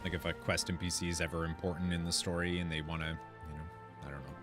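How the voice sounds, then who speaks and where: A man's voice: deep voice, little pitch movement, quick pace. A man giving casual commentary while playing a fantasy game and reading quest text aloud. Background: game music.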